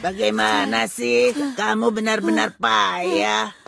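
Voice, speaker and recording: A woman's voice: mid-range voice, level tone, takes it slowly, creaky, fairly bright tone, soft background music, heavily acted. A woman voicing a robot cat companion who explains and admonishes, in an Indonesian cartoon dub. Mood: exasperated. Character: blue robot cat, scolding, knowledgeable companion.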